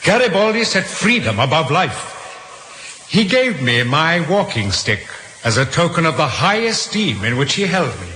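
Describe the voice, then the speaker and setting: Vain victorian doctor, pompous n boastful, brightish higher voice, old noisy radio play. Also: male voice, sing-song, a little slow, heavily acted. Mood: grandly boastful. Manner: pompous, theatrical. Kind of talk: 1940s radio drama acting. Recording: old radio broadcast, roomy, hissy.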